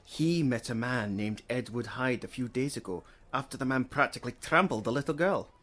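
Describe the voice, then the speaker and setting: An actor playing a kindly, concerned Victorian gentleman lawyer, speaking in a measured, earnest way in a stage drama. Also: mid-range voice, expressive. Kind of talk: period stage drama acting.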